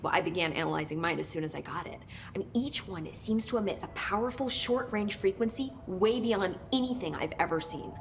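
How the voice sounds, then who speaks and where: A woman's voice: mid-range voice, brisk, hardly any pauses, noisy background, close to an everyday voice. A woman playing an eager, talkative research scientist in a video game, explaining technical details keenly. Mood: enthusiastic.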